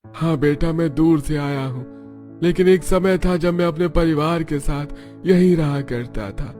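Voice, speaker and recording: A man playing a kindly, nostalgic old village man in an animated story, gentle and grateful. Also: a little high, a little slow, faint music behind, close to an everyday voice. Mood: grateful, wistful. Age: older.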